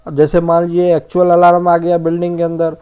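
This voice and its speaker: A man's voice: on the high side, monotone, fast talker, a little mellow, clear, resonant tone. A man giving an informal technical lesson as an instructor, explaining checklist points and often rephrasing and defining terms. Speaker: fire safety instructor.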